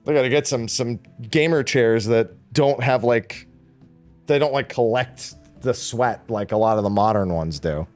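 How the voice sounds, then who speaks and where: A man's voice: medium pitch, normal speed, a little mellow, soft background music. A man hosting a casual gaming podcast stream, laughing often and swearing casually.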